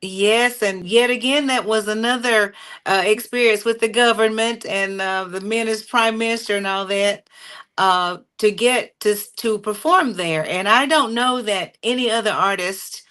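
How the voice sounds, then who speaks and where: A woman with a bright, forceful voice tells her stories at an easy pace with lots of fillers. She sounds middle-aged and speaks like a guest sharing memories in a relaxed chat. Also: mid-range voice, measured pace, smooth. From North Carolina. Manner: animated, warm storytelling with frequent fillers. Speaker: singer and interview guest. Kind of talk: conversational storytelling.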